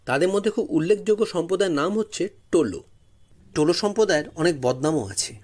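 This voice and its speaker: A man's voice: fairly high pitch, lively intonation, fast, darkish tone. A man narrating a first-person story as a storyteller in a dramatic style. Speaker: storyteller, channel host.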